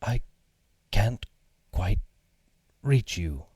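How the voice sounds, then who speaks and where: A man voicing a calm, blunt, practical male cat in a children's storybook, speaking in a measured, matter-of-fact way. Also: low-pitched, no room sound. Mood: soft, helpful.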